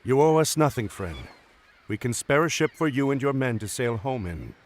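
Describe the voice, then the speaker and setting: An actor playing a resolute, noble commander hero in a video game cutscene. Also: male voice, mid-range voice, very animated, normal speed, close to an everyday voice. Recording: slightly noisy game screen capture.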